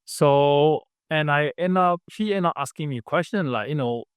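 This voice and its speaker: Smooth-voiced Malaysian-born man, halting. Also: on the high side, no echo, studio quality. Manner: earnest, halting, with many fillers and restarts. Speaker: podcast guest, business founder. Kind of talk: casual interview storytelling.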